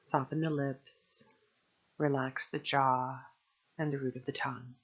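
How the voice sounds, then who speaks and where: A woman guiding a meditation as a teacher, speaking gently and soothingly in measured phrases with long pauses. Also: rumbling low, little pitch movement, muffled recording. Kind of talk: guided meditation narration.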